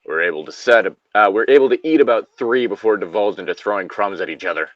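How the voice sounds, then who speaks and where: A man's voice: fairly low pitch, fast talker, a bit bright, no room sound, dull audio. A man reading a comic story casually, breaking off into jokey asides and banter. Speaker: co-reader of an internet story.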